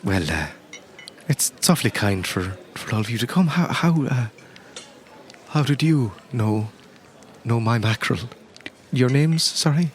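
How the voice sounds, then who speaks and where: A man playing a courteous older mourner at a wake in an improvised audio story, speaking haltingly and with effusive politeness. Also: medium pitch, lively intonation, a little hiss or hum, close to an everyday voice. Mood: courteous, mournful. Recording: music behind the voice.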